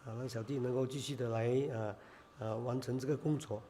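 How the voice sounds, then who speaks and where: A man preaching a sermon in Chinese, hoarse from a cold, halting with many filler sounds. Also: medium pitch, steady tone, warm tone, a little hiss or hum.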